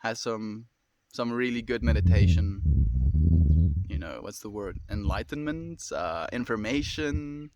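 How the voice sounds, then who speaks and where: A man in his twenties with a South African accent, chatting casually and fast with viewers on a livestream. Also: fairly low pitch, animated, background noise. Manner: fast-talking, friendly, reads and answers comments.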